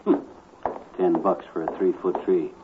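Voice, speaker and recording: A man's voice: deep voice, level tone, moderate pace, close to an everyday voice. A man playing a boastful, cocky cheapskate husband who loves to haggle, in a slangy 1950s radio comedy style. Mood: indignant. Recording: tinny, hissy 1950s radio recording.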